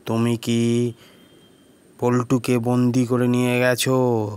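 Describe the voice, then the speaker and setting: A man's voice: medium pitch, monotone, slow, a little mellow, clean tone, close to an everyday voice. A man voicing a stern village priest in a cartoon story, firm and commanding. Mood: questioning.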